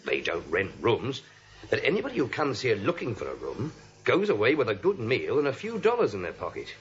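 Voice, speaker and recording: A talkative, good-natured American policeman in a vintage radio comedy. His mid-pitched voice rises and falls freely on a dull 1940s recording. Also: huge pitch swings, a little fast. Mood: gossipy. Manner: chatty, colloquial.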